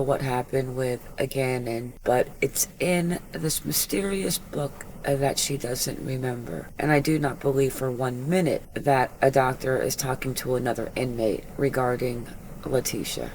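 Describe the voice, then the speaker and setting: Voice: medium pitch, slight background noise. A true crime channel host giving casual, opinionated commentary with lots of filler words. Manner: chatty, filler-heavy, opinionated.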